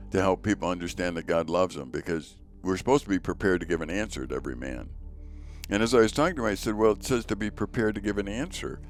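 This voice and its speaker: A man hosting a faith podcast, with a very deep voice, talking earnestly and telling stories in casual conversation. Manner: earnest, storytelling, repeats words as he goes. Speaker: podcast host.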